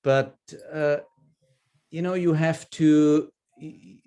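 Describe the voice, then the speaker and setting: Mellow, halting Austrian man in his fifties. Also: a little high, little pitch movement, clean tone. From Upper Austria. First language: German. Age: 50s. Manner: hesitant, halting delivery with repeated words. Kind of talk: personal storytelling in an online group session. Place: video call.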